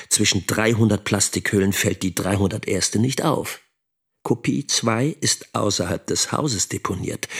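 Husky, creaky brooding father. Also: on the low side, fairly bright tone, exaggerated voice. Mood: coldly scheming. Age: middle-aged. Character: brooding, scheming middle-aged father and schoolteacher. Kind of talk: radio drama inner monologue.

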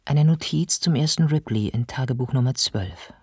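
Radio play narrator: male chronicler, medium pitch, observant, factual, measured delivery. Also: darkish tone, husky, no echo, studio quality. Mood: detached, observant. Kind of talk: scripted radio drama narration.

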